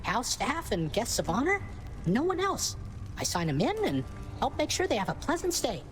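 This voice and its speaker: High, lilting, chatty greeter. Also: female voice, wildly swooping pitch, moderate pace. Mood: chipper, chatty. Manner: chatty, informal. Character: chirpy, easygoing young underworld greeter. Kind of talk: video game character acting.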